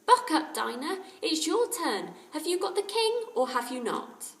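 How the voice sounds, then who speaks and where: A woman voicing a lively, teasing, bossy schoolboy in an emphatic storybook reading. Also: high voice, measured pace, pitched above the performer's own voice, exaggerated voice. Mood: bossy, stern. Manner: emphatic, exclamatory. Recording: roomy echo, distant microphone.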